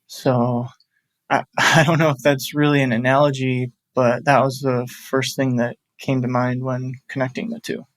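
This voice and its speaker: A man's voice: medium pitch, little pitch movement, moderate pace, dry recording. A man in his thirties who works in audio production, answering thoughtfully in casual chat, rambling a little with restarts. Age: 30s.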